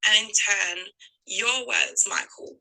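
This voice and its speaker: A woman in her twenties talking unscripted and from the heart on a call, earnest and effusive, with halting, emphatic pauses. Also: mid-range voice, gravelly, bright, forceful tone, rough recording. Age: 20s. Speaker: guest on a call.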